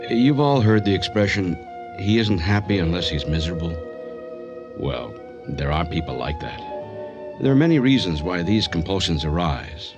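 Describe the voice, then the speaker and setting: An actor playing a measured male therapist, narrating a case in an explanatory, clinical manner for radio drama. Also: sits low, sing-song, lo-fi sound. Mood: grave, clinical. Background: music.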